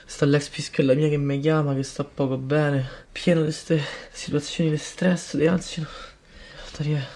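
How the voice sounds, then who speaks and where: A man's voice: fairly high pitch, level tone, measured pace, gravelly, a little mellow. An actor playing an anxious, stressed young man with a Roman accent, whiny and stammering as he begs a friend for advice. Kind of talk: scripted comedy sketch.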